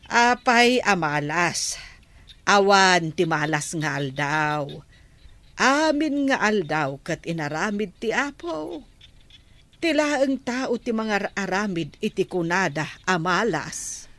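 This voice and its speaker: Concerned, questioning middle-aged mother played by a woman, Ilocano Filipino accent, mid-pitched, radio drama. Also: very animated, takes it slowly, fairly bright tone. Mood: concerned, admonishing.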